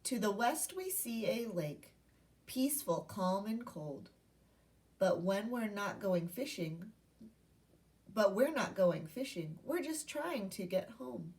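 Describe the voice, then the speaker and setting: A woman's voice: medium pitch, very animated, slow pace, a little mellow, distant mic. A woman teacher giving a read-aloud lesson to young children, speaking in simple instructional phrases and asking questions. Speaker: primary school teacher.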